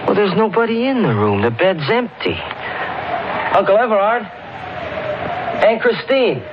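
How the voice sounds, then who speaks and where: An actor in a 1940s radio mystery playing a fearful, brooding young husband with an intense, dramatic delivery. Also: male voice, high voice, big pitch swings, measured pace, very noisy. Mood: anxious. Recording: muffled old radio broadcast, loud organ music.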